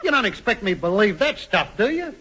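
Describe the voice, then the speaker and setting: Scornful small boy, very high bright voice, old British radio comedy, muffled recording. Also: big pitch swings, fairly quick, husky, heavily acted. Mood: cheeky scorn. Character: cheeky, knowing five-year-old boy. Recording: muffled old radio, faint hiss, clicks.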